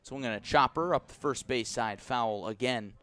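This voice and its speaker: A man calling live baseball play-by-play for the radio in a measured, descriptive way. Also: medium pitch, pushed and bright. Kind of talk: live radio sports commentary.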